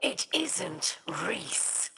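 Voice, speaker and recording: A woman voicing a bitter alien exile in a video game, disdainful and measured. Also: mid-range voice, sing-song, slightly rough, exaggerated voice. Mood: cold scorn. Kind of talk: video game dramatic dialogue. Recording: loud game ambience noise.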